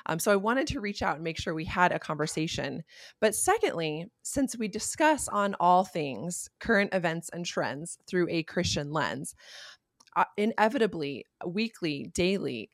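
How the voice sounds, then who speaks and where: Earnest low-voiced woman podcast host. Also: no echo, studio quality. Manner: earnest, reflective. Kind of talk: podcast intro and interview.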